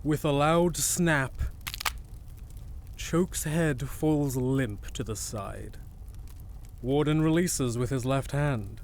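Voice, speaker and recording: A man's voice: mid-range voice, darkish tone, slight background noise. A man reading dramatic scripted narration for an audio drama as its narrator.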